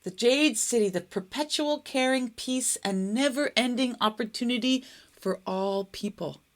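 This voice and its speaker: A woman's voice: on the high side, pitched above the performer's own voice, close to an everyday voice. Woman as a solemn, wise storybook Buddha. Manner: measured, proclaiming.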